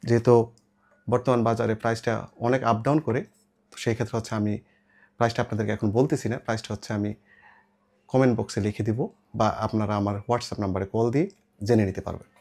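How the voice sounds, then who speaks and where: A man's voice: lowish voice, fairly even intonation, fairly quick, pauses often, darkish tone. A man with a Bangladeshi accent gives an informal, step-by-step product demonstration as a seller, mixing in English words. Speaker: shop seller presenting products. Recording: clear background noise with clicks.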